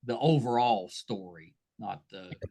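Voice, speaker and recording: A man's voice: fairly low pitch, unhurried, warm tone. A man hosting a podcast, chatting casually and in a friendly way with his guest. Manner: friendly, chatty, self-deprecating. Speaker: podcast host. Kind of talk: casual interview chat.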